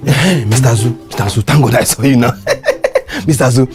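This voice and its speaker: A man's voice: mid-range voice, very animated, fairly quick, over loud music. A man with a Nigerian accent playing a cowardly, wheedling neighbour in a comedy skit, stammering and repeating himself to placate. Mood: amused flattery.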